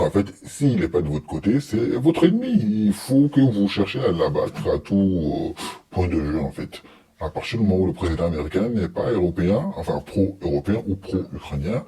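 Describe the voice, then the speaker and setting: A man with a low, dark-toned voice comments informally on what he is watching. He is blunt and openly exasperated, dismissing points in colloquial, opinionated speech. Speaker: commentator reacting to a TV debate.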